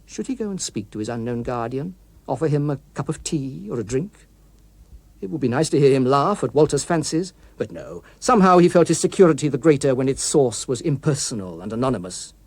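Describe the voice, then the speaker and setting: A man's voice: mid-range voice, lively intonation, brisk, vocal fry, a little mellow, no echo. A man with a British accent narrating a scripted story as a dramatic audiobook reader.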